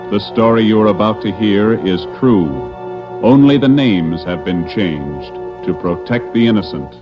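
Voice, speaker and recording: A man's voice: lowish voice, animated, a little slow. Man doing a serious formal announcer intro for an old radio show, dull lo-fi sound, music behind. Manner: solemn, formal announcer delivery.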